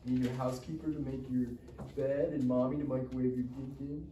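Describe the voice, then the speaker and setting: Cocky mellow-voiced teenage boy, acted by a man. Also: medium pitch, level tone, a little fast, lo-fi sound, close to an everyday voice. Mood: taunting. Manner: slangy, taunting. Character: cocky, foul-mouthed broke teenage boy, needling his buddy. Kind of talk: live table read of comedy screenplay. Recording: roomy, distant mic, faint noise.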